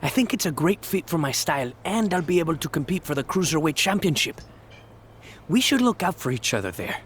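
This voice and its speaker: A man's voice: on the high side, sing-song, brisk, fairly bright tone. A man playing a friendly, loyal young wrestler in a video game, amiable and easygoing. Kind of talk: video game cutscene dialogue. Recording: faint background noise.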